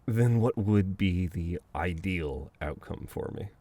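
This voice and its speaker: A man's voice: low-pitched, animated, takes it slowly, warm tone, heavily acted. A man acting a flustered, teasing best friend with a dominant streak in an intimate, conversational ASMR roleplay, often sounding exasperated. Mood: wry, teasing. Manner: conversational, exasperated, breaking into chuckles and sighs.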